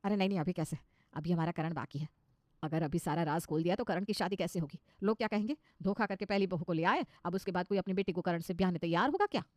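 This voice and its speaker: A woman's voice: lowish voice, fast talker, darkish tone, no echo, pitched below the performer's own voice, natural voice. A woman voicing an anxious middle-aged mother-in-law who puts on modern airs, coaxing and flustered, in a comic cartoon story. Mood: worried. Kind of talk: cartoon comedy story dialogue.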